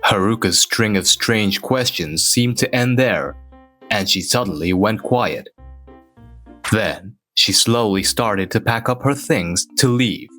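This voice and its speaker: A man playing a reserved, awkward office worker who narrates his own manga-dub story in a measured, deadpan way. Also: on the low side, soft background music. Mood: measured, wistful. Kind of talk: scripted first-person narration in a manga dub.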